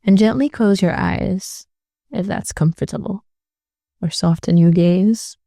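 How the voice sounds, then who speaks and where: A woman leading a guided meditation in a gentle, soothing way, speaking slowly and leaving long pauses between phrases. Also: medium pitch, creaky, no echo, studio quality.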